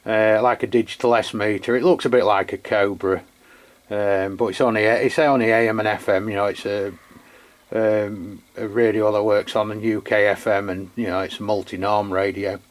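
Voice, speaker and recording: An English man hosting a radio demo in casual unscripted chat, halting and rambling as he corrects himself. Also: lowish voice, fairly even intonation. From England. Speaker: amateur radio channel host. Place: beside his radio set, filming the equipment.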